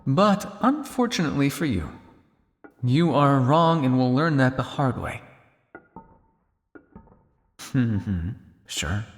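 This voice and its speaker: Man playing a sardonic vampire scientist, lively swooping delivery. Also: mid-range voice, a little slow, in a large hall, soft background music. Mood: dry, sardonic. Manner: sardonic, condescending, with exasperated sighs and dry asides. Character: cynical, world-weary male vampire scientist on the run. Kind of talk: intimate ASMR roleplay monologue.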